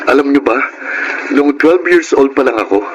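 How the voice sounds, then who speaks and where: A young man voicing a devoted, gentle grandson who speaks earnestly and softly about his past. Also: medium pitch, lively intonation, normal speed, lightly acted. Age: young adult. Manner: earnest, soft-spoken storytelling. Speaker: grandson who works in the city. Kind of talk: radio-style audio drama acting. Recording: noisy audio drama track.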